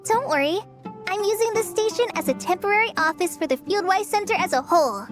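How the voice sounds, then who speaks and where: A woman's voice: very high voice, animated, brisk, music in the background. A woman voicing a cheerful, diligent little girl who works at an outpost in a video game, chirpy and businesslike. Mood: cheerful, unbothered. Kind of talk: video game character dialogue.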